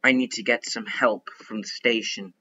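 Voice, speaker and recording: A young man giving chatty, excitable commentary while playing a game, thinking out loud as he goes. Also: lowish voice, moderate pace, husky, lo-fi sound. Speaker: gaming youtuber. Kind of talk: casual gameplay commentary.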